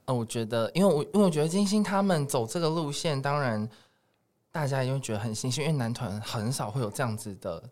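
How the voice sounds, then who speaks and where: A man's voice: medium pitch, fast, darkish tone. A man with a Taiwanese accent, a guest music producer, explaining things earnestly in a casual interview chat.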